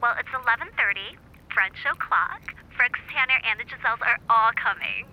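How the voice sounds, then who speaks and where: A woman's voice: a little high, moderate pace, bright, forceful tone, poor audio. An actress playing a bubbly, gossipy young socialite woman in an audio drama, breezy and gushing with lots of slang. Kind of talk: naturalistic audio drama acting.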